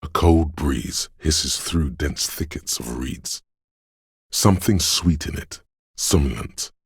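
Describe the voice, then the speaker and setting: Game narrator, mystical inner voice of a city: male, slow, gravelly, husky, solemn and brooding, close dry sound. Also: very deep voice, dry recording, heavily acted. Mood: melancholy, atmospheric. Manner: solemn, measured, ominous poetic delivery. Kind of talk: scripted literary game narration.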